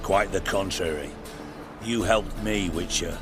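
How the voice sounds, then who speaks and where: A man playing a proud, menacing crime boss in a video game, speaking in a formal, deliberate, threatening manner. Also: deep voice. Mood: cold, menacing. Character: proud, menacing male crime boss owed his treasure. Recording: music behind the dialogue.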